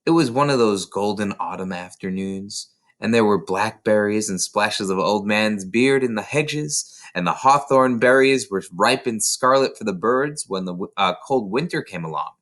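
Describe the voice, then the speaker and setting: A man's voice: fairly low pitch, normal speed, a bit bright. A man reading a children's novel aloud as scripted narration. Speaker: storybook reader.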